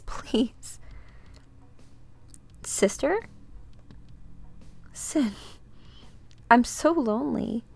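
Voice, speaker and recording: Woman acting a pleading, anguished young woman, often higher-pitched. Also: gravelly, fairly bright tone, full character voice. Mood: desperate, pleading. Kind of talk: comic book dramatic reading.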